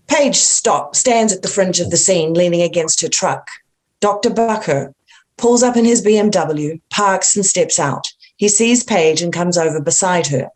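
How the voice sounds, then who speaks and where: A woman's voice: lowish voice, darkish tone. A woman reading screenplay stage directions as a narrator, in a plain, matter-of-fact manner.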